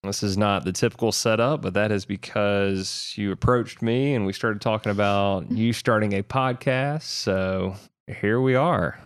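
A man's voice: on the low side, fairly even intonation, unhurried, few pauses, smooth, dry recording, studio quality. A young man with a heavy Southern accent co-hosting a podcast, joking and easygoing. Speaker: podcast co-host. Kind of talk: casual podcast chat.

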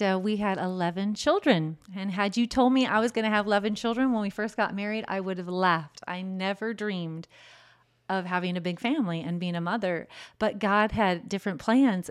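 Woman in her 50s, interview guest, earnest, smooth resonant voice. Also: mid-range voice, steady tone, normal speed. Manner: warm, earnest, faith-filled. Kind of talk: casual interview. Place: home studio. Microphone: large studio microphone.

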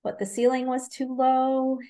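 A woman's voice: high voice, completely flat delivery, measured pace, warm tone. Egyptian-born woman in her 40s, chatty guest, lots of ums and restarts, laughs a lot. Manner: hesitant, many ums and restarts, laughs easily. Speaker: guest physician. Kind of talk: casual interview chat.

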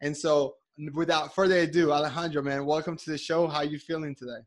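A man's voice: fairly high pitch, steady tone, quick pace. A man hosting a podcast, welcoming his guest in an upbeat way. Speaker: podcast host.

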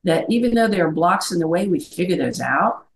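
A woman's voice: lowish voice, lively intonation, a little fast, slight background noise. A middle-aged woman who works as a life coach, talking earnestly over a video call and often checking in with "right?". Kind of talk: conversational video-call interview.

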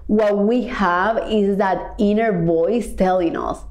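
A woman's voice: medium pitch, fairly even intonation, slow, darkish tone. Casting: Cuban-born woman life coach, earnest motivational podcast talk, mid-range voice, roomy echo. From Cuba. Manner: earnest, stretches key words, builds in repeated phrases. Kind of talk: reflective motivational monologue.

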